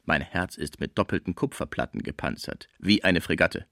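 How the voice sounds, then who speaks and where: A man's voice: sits low, animated, normal speed, creaky, fairly bright tone, no echo, studio quality, pitched below the performer's own voice, heavily acted. A man voicing a jovial but hard-nosed theatre director, blunt and commanding, in a storybook reading. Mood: evasive.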